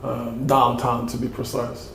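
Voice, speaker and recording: Gravelly South London man, flat delivery. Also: mid-range voice, measured pace. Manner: laid-back, rambling answers. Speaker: guest. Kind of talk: casual interview. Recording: echoey room with faint noise.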